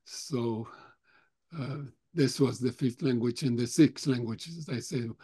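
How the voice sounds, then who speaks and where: An older man whose first language is German, slowly answering questions at a remembrance talk with many halting pauses. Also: mid-range voice, dark tone, slightly rough. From Europe; grew up speaking German, Russian, Romanian. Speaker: Holocaust survivor guest speaker.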